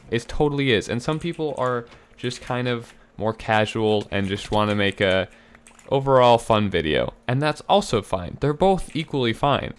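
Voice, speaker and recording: A young man with a slightly raspy voice giving casual gameplay commentary, explaining himself earnestly with fillers and restarts. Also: mid-range voice, a bit bright. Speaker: gaming YouTuber.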